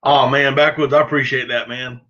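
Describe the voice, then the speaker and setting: A middle-aged man from the American South talks with a Southern accent and a bright, forceful voice at an ordinary male pitch. He chats loosely with viewers as a livestream host, folksy and self-deprecating. The audio is somewhat dull and lo-fi. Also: level tone, moderate pace. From southern United States. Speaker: livestream host of a duck hunting channel. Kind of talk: casual livestream chat, answering viewers.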